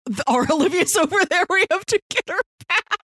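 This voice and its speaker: A woman's voice: very high-pitched, animated, brisk, a bit bright, no echo, clean studio sound. A woman co-hosting a casual discussion of a TV series, recapping in an emphatic way and piling up phrases. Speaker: podcast co-host.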